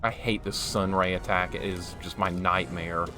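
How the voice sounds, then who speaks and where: A man's voice: sits low, little pitch movement, normal speed, music in the background. A man in his forties streaming a game, chatting casually with viewers and reacting to play. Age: 40s. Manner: chatty, talks to chat while reacting to the game. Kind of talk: casual live-stream chat while gaming.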